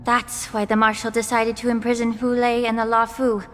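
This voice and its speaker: An actress playing a sharp-minded young woman, a healer's disciple, who questions a general in a formal, probing way, in a game cutscene. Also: fairly high pitch, completely flat delivery, moderate pace, slight background noise, natural voice. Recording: reverb with background music.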